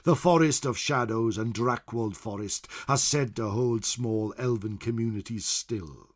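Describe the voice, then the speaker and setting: A man voicing a venerable old scholar of a fantasy world, telling its lore in a theatrical, portentous storytelling manner, pausing for emphasis. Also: mid-range voice, natural voice. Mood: foreboding. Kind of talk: dramatic in-character storytelling narration.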